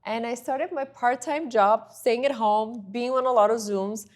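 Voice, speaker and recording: A woman's voice: a little high, a little slow. A woman, a guest in an interview, telling her story in a chatty, rambling way. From Bulgaria.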